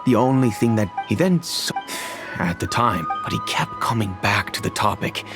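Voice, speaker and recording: Low-voiced, courteous gentleman father played by a man. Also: expressive, natural voice. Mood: sombre, recounting. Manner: formal, deliberate. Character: measured, courteous wealthy father and master of the house. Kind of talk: video game voice acting. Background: music.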